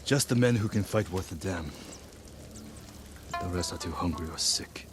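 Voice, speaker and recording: An actor playing a cocky, teasing adult mercenary gang leader in a video game. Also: male voice, sits low, normal speed, over music, natural voice. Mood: wry. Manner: teasing, boastful. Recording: game audio with ambient noise.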